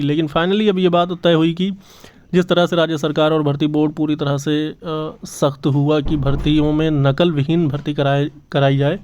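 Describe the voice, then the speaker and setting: A man's voice: on the high side, a little mellow, clean tone. A man hosting his channel's job exam news update, talking earnestly and repeating himself with many fillers. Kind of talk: casual news update to camera. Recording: slightly dull lo-fi audio.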